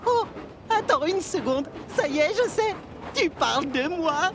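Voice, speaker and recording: A woman's voice: very high-pitched, expressive, unhurried, noisy background, heavily acted. A woman voicing a plucky young male tank engine in an earnest, boyish cartoon manner. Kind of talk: children's cartoon dub acting.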